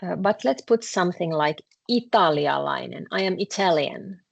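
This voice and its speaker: A woman with a Finnish accent teaching a language class, explaining patiently with frequent pauses and fillers. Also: fairly low pitch, animated, creaky. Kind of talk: classroom lesson explaining grammar.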